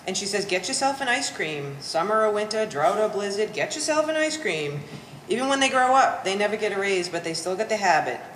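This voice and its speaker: Bitter, bookish grown daughter played by an actress, mid-pitched, dry and pompous wording, live stage play in a reverberant hall. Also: female voice, big pitch swings, a little fast, a bit bright. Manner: pompous big words, dry and precise. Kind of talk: one-act stage play performance. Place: art gallery, live staged reading. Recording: reverberant gallery hall, faint hiss.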